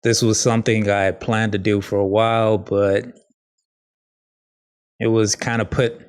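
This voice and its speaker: A man hosting a casual solo podcast, talking in a halting, rambling, informal way with a slight lisp. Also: on the low side, level tone, clean tone. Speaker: podcast host.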